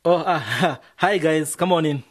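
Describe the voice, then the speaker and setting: An actor playing a cautious, level-headed music producer in a radio drama, speaking in a measured, slightly hesitant way. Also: male voice, on the high side, very noisy, natural voice. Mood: easygoing.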